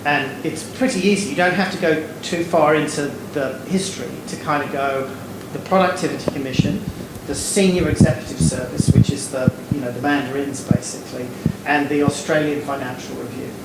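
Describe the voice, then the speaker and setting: A man's voice: on the high side, fairly even intonation, fairly quick, hardly any pauses. A man answering an audience question on a panel stage, wry and digressive with many fillers. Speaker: lecturer. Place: stage before a live audience in a hall. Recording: echoey hall, air conditioning hum.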